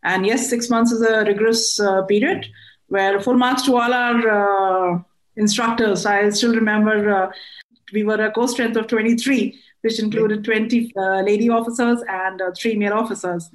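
A middle-aged woman with an Indian accent answers interview questions in a formal, respectful way. Her voice sits at a medium pitch and carries a roomy echo. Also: steady tone, moderate pace. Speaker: interview guest, air force air traffic control officer.

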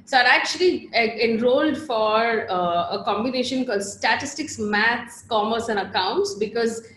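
A woman with a Bangalore accent talks as an interview guest, chatty and animated, laughing easily between thoughts. Her voice sits fairly high. The room around her is echoey, with faint ambience underneath. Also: moderate pace, a little hiss or hum. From Bangalore, India. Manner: chatty and animated, laughs often, trails off with fillers. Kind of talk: casual interview chat.

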